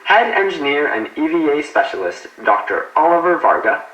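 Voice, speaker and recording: A man's voice: a little high, very animated, normal speed, dull audio. A man playing a courteous, formal spacecraft captain in an analog horror drama, commanding yet encouraging, in a roomy echo. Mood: formal, proud. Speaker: ship's captain.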